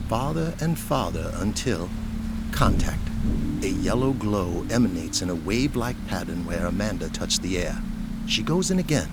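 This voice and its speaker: A man's voice: on the low side, heavy background noise. A man giving scripted narration as the narrator of an audio drama.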